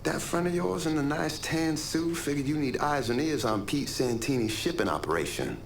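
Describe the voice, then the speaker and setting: Game informant: chatty male crook, animated, joking, slightly roomy echo. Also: fairly high pitch, moderate pace, vocal fry. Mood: cocky, amused. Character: cheeky, chatty small-time crook informant. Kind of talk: video game cutscene acting. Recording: roomy echo, faint background noise.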